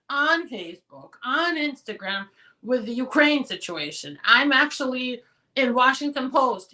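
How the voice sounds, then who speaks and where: Hoarse bright-voiced woman attorney. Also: high-pitched, far from the mic, poor audio. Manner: direct and advising, with quick practical answers. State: hoarse, losing her voice. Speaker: immigration attorney hosting a live show. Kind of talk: live Q&A, reading out viewer questions and answering.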